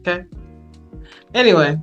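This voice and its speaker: Lively, laughing woman podcast host. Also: lowish voice, moderate pace, muffled recording, faint music behind. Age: 30s. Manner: laughing, easygoing questioner. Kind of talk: casual interview chat. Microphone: video call.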